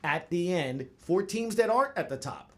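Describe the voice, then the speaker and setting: A man's voice: sits high, expressive, normal speed. A man in a sports roundtable discussion, making emphatic points with rhetorical questions. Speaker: sports radio commentator.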